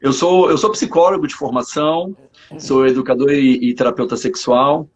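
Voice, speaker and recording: A man, hoarse, speaking as a psychologist guest in a casual live interview, hesitant and full of restarts. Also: mid-range voice, fairly even intonation, normal speed. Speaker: psychologist and sex therapist guest.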